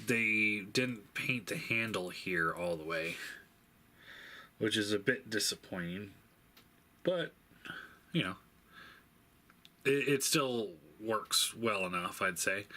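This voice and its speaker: A man giving a casual, unscripted product review, thinking aloud in a halting way and stating blunt opinions. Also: lowish voice, sharp tone. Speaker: toy reviewer. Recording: faint background noise.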